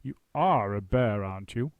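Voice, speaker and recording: A man voicing a pompous, gruff older male stage actor who booms dramatically. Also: expressive, normal speed, dry recording, narrow, tinny audio. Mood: booming.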